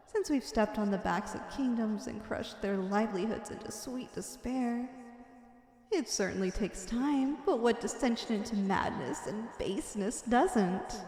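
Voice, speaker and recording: Villainess, played by a woman, fairly high voice, wicked and obsessively devoted, ornate theatrical monologue for ASMR roleplay, reverberant hall sound. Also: a little mellow, close to an everyday voice. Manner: grandiose, ornate, fervent delivery. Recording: echoey, long reverberant hall effect.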